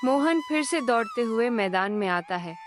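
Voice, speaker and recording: A woman narrating a moral cartoon story in a calm, measured voice-over. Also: on the high side, soft background music.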